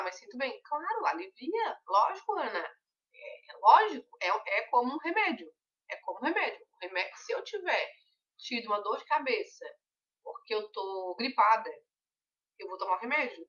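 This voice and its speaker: A woman guides a group through a mentoring session, her voice bright and fairly high. She explains patiently with everyday examples. The audio sounds distant and muffled, like an online call. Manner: conversational, reassuring, explains with examples. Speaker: weight-loss mentor.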